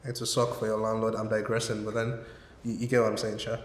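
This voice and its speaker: A man's voice: on the low side, flat delivery, fast talker. Wry, joking Nigerian man. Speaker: interviewee. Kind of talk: candid interview answers. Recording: roomy reverb, faint hiss.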